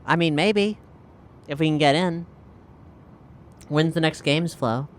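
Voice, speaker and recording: A man's voice: a little high, slow pace. A man chatting informally and inquisitively as a player in a tabletop roleplaying game. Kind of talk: casual improvised game chat.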